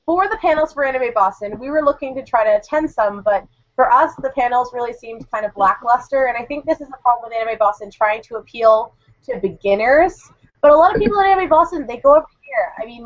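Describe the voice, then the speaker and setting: A woman's voice: fairly high pitch, dry recording. A woman reviewing a convention in a chatty, rambling way while getting over a cold. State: recovering from a cold. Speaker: convention correspondent. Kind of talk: casual podcast review. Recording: dull lo-fi sound.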